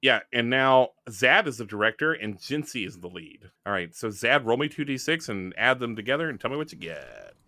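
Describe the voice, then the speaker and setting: A man talks through a tabletop game in a casual, conversational way. His voice is bright and his pitch moves around a lot, with a dry, close sound. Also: very animated, brisk, few pauses, dry recording. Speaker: player explaining the rules in a tabletop game. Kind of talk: casual tabletop roleplay chat.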